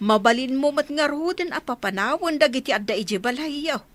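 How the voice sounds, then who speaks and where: A woman's voice: sits high, expressive, moderate pace. A woman playing a kind, outspoken villager in an Ilocano radio drama, chatty and teasing.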